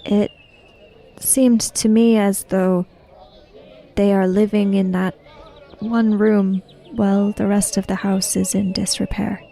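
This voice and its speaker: A woman roleplaying a formal, reserved traveller in a samurai fantasy tabletop game, speaking in careful, measured phrases. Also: mid-range voice, flat delivery, gravelly, dark tone. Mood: measured, pensive. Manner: formal, measured, avoids contractions. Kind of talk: in-character roleplay dialogue.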